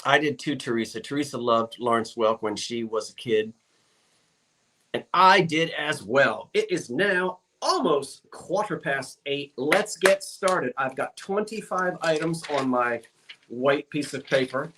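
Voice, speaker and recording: A man's voice: mid-range voice, animated, unhurried, sharp tone. A man hosting a live-stream glass sale, chatting casually with viewers, with lots of fillers and self-corrections. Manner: chatty, rambling, reads out viewer comments. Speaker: live-stream auction host.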